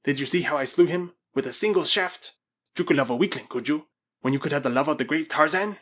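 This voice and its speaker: A man's voice: a little high, fast, a bit bright, voice pushed up. A man voicing a surly, boastful, half-mad Spanish villain in an adventure storybook, commanding and menacing. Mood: mad boasting. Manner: menacing, commanding, given to ranting.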